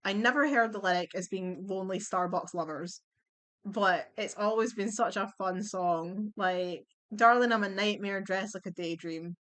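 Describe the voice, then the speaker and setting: A young woman chatting bluntly as she ranks songs at home on her computer, her voice going a little hoarse. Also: mid-range voice, moderate pace, fairly bright tone. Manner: chatty, blunt, swears and laughs easily. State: losing her voice. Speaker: vlogger ranking songs. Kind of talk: casual chat.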